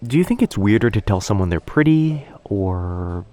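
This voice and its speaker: Low male voice, actor as shy coffee-shop crush, awkward, overthinking, soft-spoken, hesitant rambling, intimate boyfriend ASMR. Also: animated, normal speed, no room sound, close to an everyday voice. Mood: nervous, musing.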